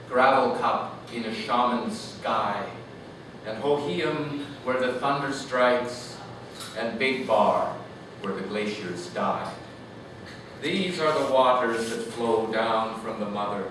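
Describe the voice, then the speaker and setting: Echoey, distant man at a reading. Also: mid-range voice. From Canada. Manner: measured, deliberate delivery. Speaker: poet reading from own work. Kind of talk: live poetry reading with informal anecdotes. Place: echoey room at a live reading. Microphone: distant microphone. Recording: distant, echoey room with noisy background.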